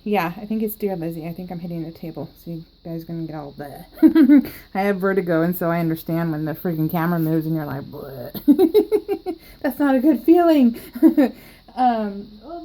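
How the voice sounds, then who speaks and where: A woman's voice: mid-range voice, dark tone, low-quality recording. A woman chatting casually in a crafting vlog, rambling and laughing often as she talks. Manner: giggly and rambling, with frequent laughs and restarts. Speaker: planner crafting vlogger.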